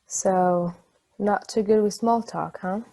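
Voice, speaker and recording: A woman acts as a friendly, patient woman in a stageplay reading. The voice is mellow and relaxed at a medium pitch. The delivery is unhurried, reassuring and gently encouraging. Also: vocal fry, rough recording. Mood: gentle, reassuring. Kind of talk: stageplay table read.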